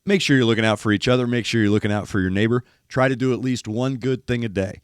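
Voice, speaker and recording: An older man, a former rock radio DJ, hosts a music reaction show. He is losing his voice and sounds gravelly, speaks emphatically, and mimics drum hits while talking. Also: mid-range voice, quick pace, no echo, clean studio sound. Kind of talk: casual music commentary. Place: studio in east Nashville.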